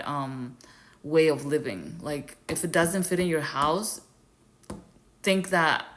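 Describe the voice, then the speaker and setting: A young woman from Ecuador giving rambling, earnest advice to her viewers in a casual vlog, with lots of fillers. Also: sits low. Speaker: YouTube creator. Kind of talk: casual vlog talk to camera.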